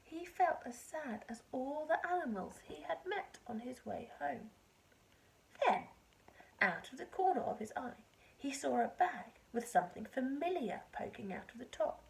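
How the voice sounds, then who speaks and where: A woman teacher reading a children's storybook aloud in an expressive way. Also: high voice, measured pace, gravelly, low-quality recording.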